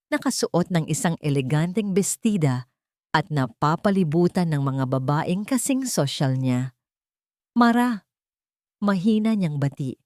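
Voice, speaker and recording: A woman's voice: deep voice, darkish tone, dry recording, studio quality. A woman storyteller reading a scripted, dramatic love story.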